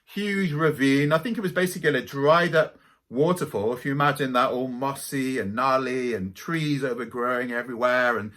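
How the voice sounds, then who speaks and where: A man's voice: medium pitch, normal speed. A middle-aged man with a light Welsh accent telling dramatic spiritual stories to camera. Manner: animated, dramatic storyteller. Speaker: spiritual teacher. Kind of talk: storytelling to camera.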